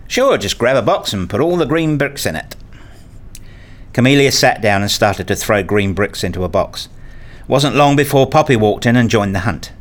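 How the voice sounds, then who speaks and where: A man's voice: mid-range voice, animated, normal speed. A man reading scripted first-person narration for an audio play, slipping into acted dialogue as a father.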